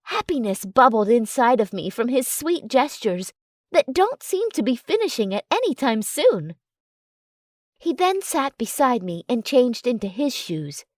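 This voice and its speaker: A woman's voice: fairly high pitch, big pitch swings, a little slow, no echo, studio quality. A woman reading scripted first-person fiction as an audiobook narrator.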